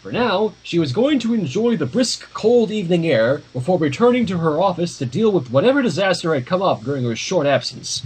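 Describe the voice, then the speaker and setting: A man's voice: high-pitched, big pitch swings, fairly quick, few pauses, darkish tone, husky, low-quality recording. A man reading a story aloud informally on a livestream, dropping in casual asides. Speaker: livestream fan fiction reader.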